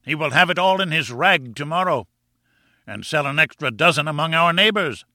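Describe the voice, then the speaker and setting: A man voicing a huge, pompous, mocking husband in an audiobook, booming and rumbling as he blusters. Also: animated, moderate pace, bright, forceful tone, no echo, clean studio sound, full character voice. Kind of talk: audiobook character acting.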